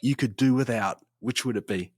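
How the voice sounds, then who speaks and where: A man hosting a podcast interview with a hoarse, strained voice that is nearly gone, chatting in a friendly way with plenty of restarts. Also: medium pitch, moderate pace, darkish tone, no echo, clean studio sound. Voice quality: raspy. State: hoarse, losing his voice. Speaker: podcast host. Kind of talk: casual interview chat.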